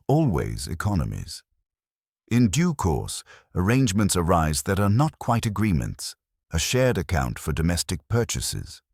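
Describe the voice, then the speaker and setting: A man voicing a prim, shrewd older woman, genteel and dryly amused, with crisp, precise speech in a storybook reading. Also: low-pitched, no echo, clean studio sound, heavily acted. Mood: confiding, wry.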